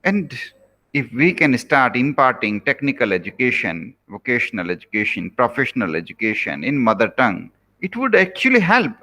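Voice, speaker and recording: An earnest Indian man delivers a lecture in English, his first language being Rajasthani. His voice is bright and moves up and down a lot in pitch, and he urges his listeners by repeating his points. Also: very animated, moderate pace. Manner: earnest, repeats key phrases, pleading. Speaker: professor giving a lecture. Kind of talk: recorded lecture to students.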